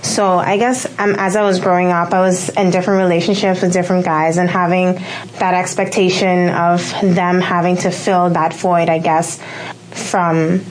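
A woman's voice: fairly low pitch, little pitch movement, moderate pace, a little hiss or hum, rough recording. A young woman from Curacao telling her testimony in a radio studio, hesitant with many ums. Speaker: guest sharing testimony. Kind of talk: personal testimony in interview.

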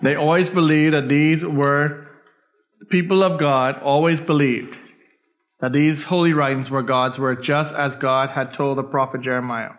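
A man's voice: on the high side, steady tone, moderate pace. A man from the Bahamas, teaching a church Bible study and often checking in with his listeners. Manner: keeps checking in with listeners, "all right?". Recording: dull lo-fi recording.

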